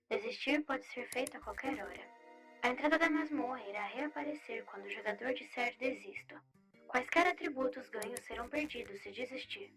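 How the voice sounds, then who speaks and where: A woman's voice: on the high side, a bit bright, poor audio. A woman voicing an impassive game system that makes formal announcements. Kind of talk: formal announcement in an audio story. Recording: music behind the voice.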